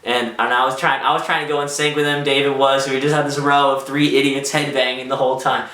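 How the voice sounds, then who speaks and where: A young man tells a story vlog-style in a bright, forward voice. He is casual and excitable, acting out quotes as he goes. The sound is roomy and a little way off from the microphone. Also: medium pitch, quick pace. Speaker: vlogger telling a personal story. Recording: roomy echo, distant microphone.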